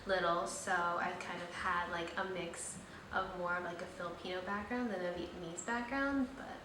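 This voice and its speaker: A woman's voice: fairly low pitch, steady tone, normal speed. A young woman hosting her vlog, chatting casually and rambling with lots of fillers. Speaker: vlogger host. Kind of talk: casual chat. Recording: echoey room, slightly distant mic, faint noise.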